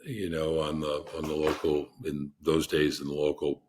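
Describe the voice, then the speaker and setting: A man with a very low, deep voice and a husky grain to it. He talks as a podcast guest, spinning long anecdotes at an easy pace and often starting a sentence twice before he finishes it. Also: flat delivery, moderate pace, darkish tone. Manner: rambling anecdotal storyteller with frequent restarts. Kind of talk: casual interview storytelling.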